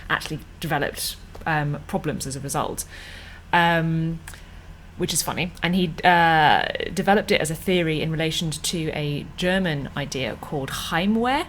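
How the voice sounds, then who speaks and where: Low-voiced English woman, chatty co-host. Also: normal speed, fairly bright tone, a little hiss or hum, rough recording. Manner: chatty, playful, many fillers. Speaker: podcast co-host. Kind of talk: casual chat.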